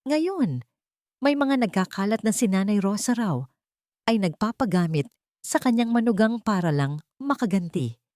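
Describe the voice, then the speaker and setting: A woman's voice: medium pitch, very animated, moderate pace, pauses often, darkish tone, dry recording, studio quality. A woman reading a scripted, dramatic romantic story as a narrator.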